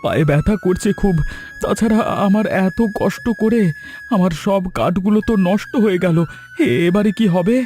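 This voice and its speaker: A man's voice: sits high, moderate pace, darkish tone, faint music behind, close to an everyday voice. A man playing a humble, hardworking village woodcutter in a cartoon, plaintive and earnest. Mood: pained, wailing. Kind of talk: Bengali cartoon story acting.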